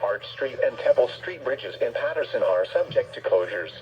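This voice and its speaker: A man's voice: mid-range voice, moderate pace, soft background music. Dull-sounding synthetic male weather radio announcer. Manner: flat, formal, synthetic delivery. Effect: played through weather radio speaker. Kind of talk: scripted emergency weather bulletin. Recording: dull radio speaker, faint background noise.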